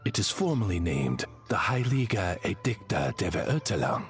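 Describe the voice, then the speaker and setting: A man's voice: low-pitched, very animated, moderate pace, soft background music. A man playing a dignified raven familiar in an anime game, a courteous, deferential interpreter with formal speech. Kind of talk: English-dubbed anime game acting.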